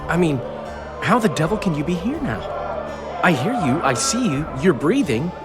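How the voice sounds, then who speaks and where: An actor playing a talkative, worldly bard in a fantasy game, with an expressive, dramatic and wordy delivery. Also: male voice, on the high side, moderate pace. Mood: incredulous. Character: talkative, theatrical male bard, gossipy and worldly. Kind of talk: fantasy video game cutscene acting. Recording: loud music and crowd behind. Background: loud music, busy outdoor crowd.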